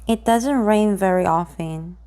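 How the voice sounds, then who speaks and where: A woman reading simple grammar example sentences aloud in a slow, deliberate way, pausing between each one. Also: mid-range voice, warm tone.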